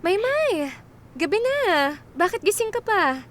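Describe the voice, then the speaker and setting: A woman playing a kind, composed doctor who was once a star, speaking gently and conversationally in a radio drama. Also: very high voice, very animated, takes it slowly, heavily acted. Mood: caring.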